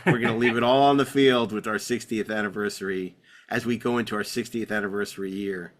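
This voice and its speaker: A man's voice: fairly low pitch, fairly even intonation, fast, a little hiss or hum. A man hosting a podcast in casual banter, rambling along with enthusiasm and wry humor. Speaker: podcast host.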